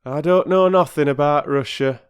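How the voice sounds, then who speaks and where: A man's voice: fairly high pitch, normal speed, clear, resonant tone, pitched above the performer's own voice, close to an everyday voice. Man doing a huffy, lofty old railway porter. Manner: lofty, sulky, clipped dialect speech. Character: huffy working-class male railway porter.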